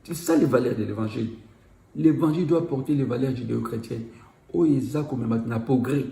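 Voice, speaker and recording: A man's voice: mid-range voice, brisk, vocal fry, dark tone, echoey room. A man from Congo with a Congolese accent, giving an impassioned public address in an exhorting, emphatic way and repeating his key phrases. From Democratic Republic of the Congo. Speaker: community speaker.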